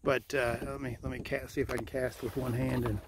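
A man at a middle pitch chats loosely from a kayak, trailing off into fillers and restarting sentences. He is recorded outdoors on a body-worn camera, and clear background noise runs under his voice throughout. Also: level tone, brisk. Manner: chatty, rambling with fillers and restarts. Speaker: vlogger fishing from a kayak. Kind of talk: casual first-person vlog. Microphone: point-of-view action camera on the body.

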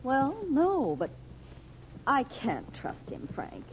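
A woman's voice: high-pitched, wildly swooping pitch, slow pace, exaggerated voice. An actress playing an anxious, doubting middle-aged mother in an old radio drama. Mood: worried, doubting. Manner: fretful, argumentative. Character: anxious, doubting American mother on a desert journey. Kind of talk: 1930s-40s radio acting. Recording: muffled, noisy old radio recording.

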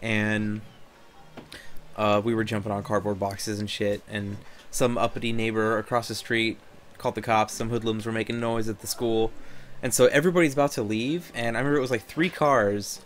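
A man chatting casually while playing a video game, telling stories in an animated way with frequent swearing. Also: on the low side, moderate pace. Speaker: let's play co-host.

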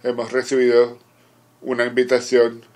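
A man working as a conference interpreter, giving a formal simultaneous interpretation in short, measured phrases with halting pauses. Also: mid-range voice, completely flat delivery, darkish tone.

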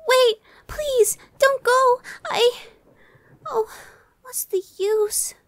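A woman voicing a timid, anxious young female gargoyle in an ASMR roleplay, soft, girlish, hesitant and stammering. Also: extremely high pitch, extremely slow, no echo, clean studio sound, full character voice. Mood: frightened, pleading. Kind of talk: ASMR audio roleplay acting.

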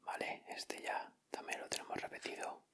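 Man, Castilian Spanish accent, bright crisp whisper, ASMR host, informal. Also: normal speed. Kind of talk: ASMR, whispered unboxing commentary.

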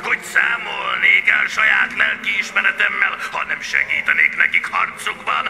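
An actor playing a blustering, warmongering pig officer in a video game, declaiming like an orator. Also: male voice, on the high side, vocal fry, a little hiss or hum, heavily acted. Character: bombastic warmongering pig general and shouting pig soldiers. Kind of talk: video game cutscene acting.

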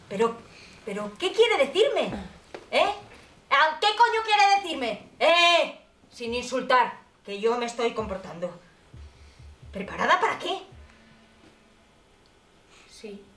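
An actress from playing a poor, lonely, half-mad old woman who pleads slowly on the phone, turning between bitterness and tears. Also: fairly high pitch, fairly bright tone, reverberant, far from the mic, slight background noise, close to an everyday voice. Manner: slow, pleading, swinging between bitter and tearful. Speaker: actress in a monologue. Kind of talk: stage monologue.

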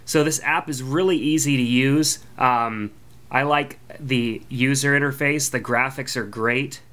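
A man's voice: mid-range voice, a little slow, slight background noise. A man hosting a podcast, casually walking through an app review with lots of ums and uhs. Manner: relaxed, filler-heavy, friendly. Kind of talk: casual product review voice-over.

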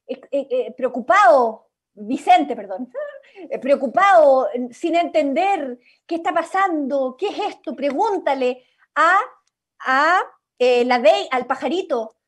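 A woman with a high voice leads a drama workshop over a video call. She gives instructions and checks in encouragingly as she directs. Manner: encouraging, instructive, acts out example lines. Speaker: workshop leader. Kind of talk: directing an improvised radio play workshop. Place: indoors at home, on a video call.

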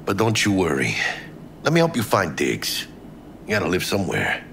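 A man's voice: low-pitched, huge pitch swings, moderate pace, creaky, fairly bright tone, a little hiss or hum, exaggerated voice. A man playing a brash, friendly streetwise smuggler in a cyberpunk video game, with a Mexican American accent and slangy, emphatic talk peppered with Spanish swearing. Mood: friendly, chatty.

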